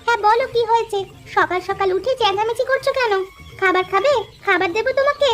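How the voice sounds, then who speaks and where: A woman acts a village wife in a moral-story cartoon, with an extremely high, almost childlike voice. She bosses and fusses with homely care. Music runs behind her. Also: quick pace, a bit bright. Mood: brisk. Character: bossy, caring village housewife.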